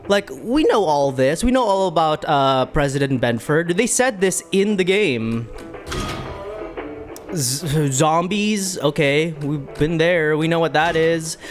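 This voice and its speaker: An animated game streamer talks casually to viewers. The pitch swings widely as they ramble and circle back over their words, with music playing underneath. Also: slow. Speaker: streamer reacting to a video game playthrough. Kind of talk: casual livestream chat, commentary.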